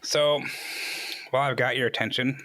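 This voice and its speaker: A man with an average-pitched voice acts as interviewer. He speaks in a casual, conversational way, moving questions along among a group of guests. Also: fairly even intonation, normal speed, bright, forceful tone, low-quality recording. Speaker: host interviewer.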